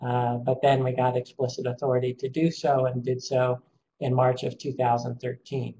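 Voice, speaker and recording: A man with a raspy, strained voice presenting an education webinar over a call, pausing often with ums. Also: mid-range voice, level tone, dark tone, slight background noise, rough recording. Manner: hesitant, many ums, measured.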